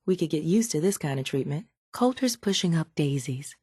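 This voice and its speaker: Game role: sardonic, calculating female raider scientist, slightly low register, cool measured delivery, very dry studio sound. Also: animated, warm tone, slightly rough, no room sound. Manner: dry, measured, faintly condescending. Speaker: video game character voice actor. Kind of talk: scripted video game dialogue.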